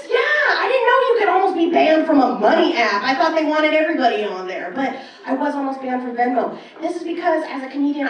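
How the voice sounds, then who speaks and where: Echoey, high-voiced woman doing brash stand-up. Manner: animated storytelling, acting out quoted exchanges; brash, casual, profane. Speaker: stand-up comedian. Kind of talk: stand-up comedy set. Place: live comedy club stage. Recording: roomy echoing club sound, distant.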